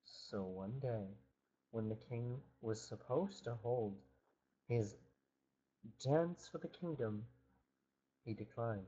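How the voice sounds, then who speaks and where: A man's voice: medium pitch, slow, pauses often, warm tone, slight background noise. Man telling an improvised fairytale, slightly muffled. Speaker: storyteller.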